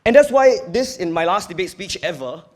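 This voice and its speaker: Man, young adult, Singaporean accent, high voice, university debater, emphatic and earnest speech. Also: expressive, fairly quick.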